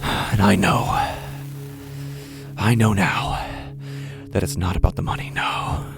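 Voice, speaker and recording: Man playing a slow, halting, desperate street busker. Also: deep voice, steady tone, gravelly, music in the background, exaggerated voice. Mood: desperate, pleading. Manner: theatrical, halting, repeating himself. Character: desperate, ingratiating male street busker, secretly unhinged. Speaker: actor in a horror fiction podcast. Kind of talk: dramatic first-person audio fiction monologue.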